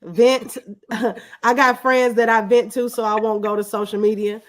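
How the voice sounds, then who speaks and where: A woman's voice: on the high side, fairly even intonation, a little slow. A woman speaking as an interview guest, telling personal stories in a casual, conversational way. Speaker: interview guest, small business owner.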